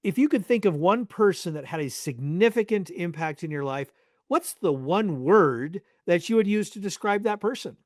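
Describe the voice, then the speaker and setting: Higher-pitched male podcast host, dry close sound. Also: animated, normal speed, dry recording. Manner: effusively appreciative, encouraging. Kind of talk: interviewing, conversational.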